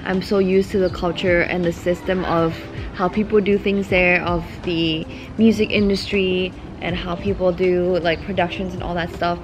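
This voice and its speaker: Young woman, 20s, from Thailand, English; casual, animated vlog chat outdoors on a tripod camera; music bed behind. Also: on the low side, normal speed, few pauses. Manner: chatty, expressive, talks with her hands. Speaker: singer vlogging her trip. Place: outdoor park picnic shelter. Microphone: camera on a small tripod at arm's length.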